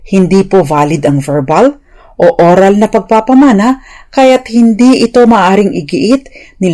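A woman's voice: mid-range voice, mellow. A woman with a Filipino accent giving a prepared radio legal explainer in a formal, polite, didactic manner. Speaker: lawyer and radio legal commentator.

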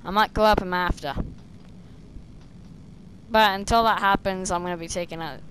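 A young woman, slightly hoarse and losing her voice, chatting and rambling as she plays a stealth game at her desk, talking to the enemies on screen. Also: mid-range voice, fairly even intonation, normal speed, fairly bright tone, a little hiss or hum, low-quality recording. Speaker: gameplay streamer. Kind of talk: casual gameplay commentary. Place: small room indoors, seated at a desk.

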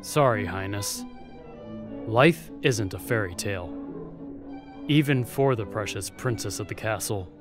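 A man's voice: lowish voice, expressive, music in the background. A man playing a jaded, defiant young spy at a royal court in an audio roleplay, sardonic and guarded. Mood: wry, guarded. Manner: sardonic, bitter, guarded, with pauses.

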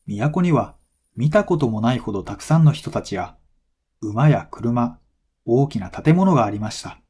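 A man reading a folktale as a scripted narration for language learners, in measured, clearly phrased storytelling. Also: medium pitch, lively intonation, darkish tone.

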